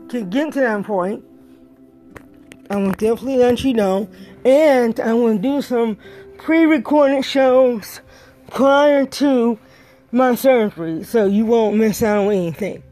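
A middle-aged woman, a life coach, gives a motivational pep talk in a raspy, breathy voice with a dry throat, pressing her points by repeating key words. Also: a little high, warm tone. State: dry throat after a stroke.

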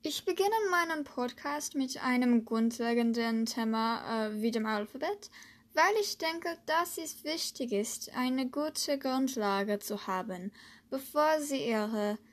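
A woman from the United States, a native English speaker, hosting a podcast and slowly reading a lesson in German with hesitant fillers. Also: on the high side. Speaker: podcast host, German learner. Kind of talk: scripted language lesson podcast.

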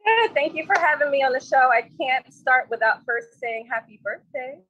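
A woman's voice: high-pitched, lively intonation, moderate pace, lo-fi sound. A woman in her thirties from Egypt, speaking politely as a guest on a radio interview. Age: 30s. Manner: polite and gracious. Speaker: guest, nonprofit president and CEO.

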